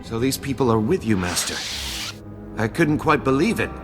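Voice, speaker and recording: Actor as a weary Jedi guardian, reverberant. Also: male voice, mid-range voice, big pitch swings, moderate pace, natural voice. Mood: weary grief. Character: weary, grieving Jedi guardian defending survivors. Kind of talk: video game cutscene acting. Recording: long hall reverb, faint game music behind.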